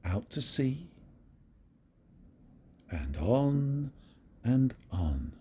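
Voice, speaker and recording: An older man reading a picture book aloud to his grandchildren in a gentle, very expressive storytelling manner. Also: medium pitch, drawn-out words, slight background noise.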